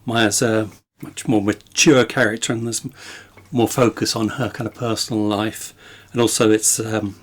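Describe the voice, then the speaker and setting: A man's voice: on the low side, little pitch movement, moderate pace, hardly any pauses. A man co-hosting a book podcast, putting reflective, tentative questions in a conversational way. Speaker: podcast co-host. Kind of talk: conversational interviewing.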